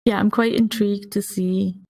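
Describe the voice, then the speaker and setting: A woman's voice: medium pitch, fairly even intonation, moderate pace, gravelly, a little mellow. A woman in casual conversation as a player on a podcast. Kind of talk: casual chat. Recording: distant from the mic.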